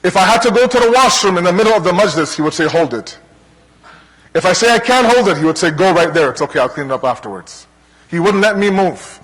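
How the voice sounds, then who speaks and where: A man from Toronto with a Canadian accent preaching to a congregation in an earnest, rhetorical manner, pausing between deliberate parallel phrases. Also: high voice, animated, fairly bright tone, slightly rough. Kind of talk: religious lecture to a congregation. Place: large hall, speaking to a gathering. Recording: long hall reverb.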